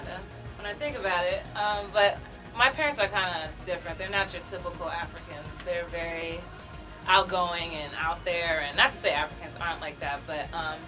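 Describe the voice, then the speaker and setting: A woman's voice: lowish voice, steady tone, moderate pace, low-quality recording. A young woman born in America, co-hosting a radio talk show and speaking candidly in a chatty way with lots of fillers. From United States. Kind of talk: casual talk radio discussion. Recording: music clearly behind the voice.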